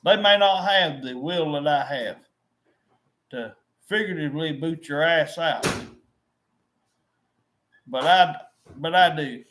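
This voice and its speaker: A man's voice: a little high, slow, pauses often, background noise. A middle-aged man venting to his viewers, frustrated and repetitive, often restarting his sentences. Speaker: vlogger. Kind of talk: rambling to-camera vent.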